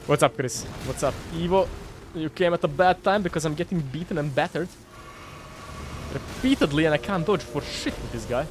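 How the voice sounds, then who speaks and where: A young man with a Croatian accent, excitedly commenting on his game live, swearing at bosses and chatting with viewers through a headset. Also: fairly high pitch, moderate pace, background noise. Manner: excitable, swears and laughs while fighting bosses. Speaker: game streamer. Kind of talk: live gameplay commentary reading chat. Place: home streaming room, indoors.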